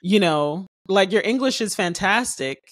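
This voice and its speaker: A woman's voice: mid-range voice, lively intonation, unhurried, no echo, clean studio sound. A woman from Haiti who grew up in the US, hosting a podcast, talking at length with many fillers. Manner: talkative, warm rambling with many fillers. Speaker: podcast host. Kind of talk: casual interview.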